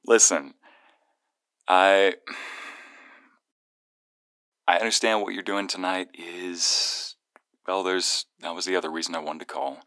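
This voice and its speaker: A man acting as a young guy friend in an ASMR audio roleplay, with a very low voice and a close, dry sound. He talks as if on a call to someone he secretly cares for, teasing yet earnest and protective. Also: flat delivery, normal speed, smooth, dry recording. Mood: serious, concerned. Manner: teasing banter turning soft and earnest. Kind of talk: ASMR roleplay, one-sided phone call.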